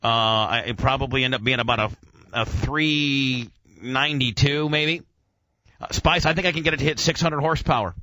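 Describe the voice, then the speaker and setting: A man's voice: mid-range voice, moderate pace, bright, forceful tone, no room sound. A man hosting a casual motoring radio chat, rambling enthusiastically in technical gearhead jargon with mild swearing. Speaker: radio show host.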